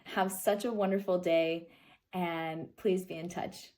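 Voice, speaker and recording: A woman's voice: lowish voice, fairly even intonation, unhurried, mellow. An American woman in her thirties giving an informal spiritual reflection, earnest and emphatic, often checking in with the listener. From Kansas City, United States. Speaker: spiritual speaker and host.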